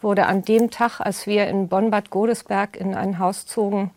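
An older woman who grew up in the United States, speaking as a podcast guest in a reflective, thoughtful way and pausing often with fillers. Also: mid-range voice, fairly even intonation, darkish tone. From raised in the United States. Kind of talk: conversational interview.

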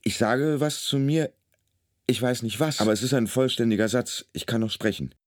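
An actor playing an anxious, brooding man in his fifties, halting and deadpan in a radio play monologue. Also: mid-range voice, no echo, clean studio sound, close to an everyday voice. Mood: rising alarm. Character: anxious, ruminating 52-year-old male emergency patient, inner monologue. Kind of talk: German radio play acting.

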